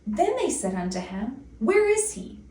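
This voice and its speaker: Echoey, distant woman reading scripture slowly. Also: on the high side, sing-song, dark tone. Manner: measured, deliberate recitation. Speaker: scripture reader. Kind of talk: formal scripture reading from an old-fashioned translation. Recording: distant mic, echoey room, faint noise.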